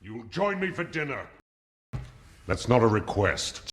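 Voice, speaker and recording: An actor playing a hot-tempered, imperious beast in film dialogue, explosive and scornful. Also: male voice, mid-range voice, sing-song, unhurried, a bit bright. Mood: curt command. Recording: noisy film clip audio.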